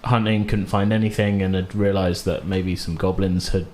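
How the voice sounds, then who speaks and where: A man's voice: low-pitched, flat delivery, moderate pace, darkish tone. A man running a tabletop game as game master, narrating and explaining in an informal, improvised way.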